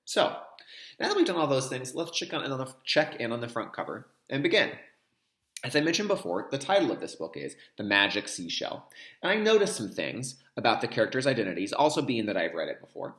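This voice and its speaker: A man's voice: mid-range voice, big pitch swings, brisk, a bit bright, echoey room. A man teaching young children through a read-aloud, speaking to them directly and explaining as he goes. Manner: addresses young listeners directly, repeats key terms. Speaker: kindergarten teacher reading a picture book aloud.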